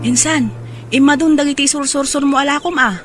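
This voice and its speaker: A woman's voice: high voice, fairly even intonation, a little fast, faint music behind, close to an everyday voice. A woman playing a helpful cousin in a radio drama, speaking with an Ilocano accent. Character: helpful cousin offering advice to a vendor.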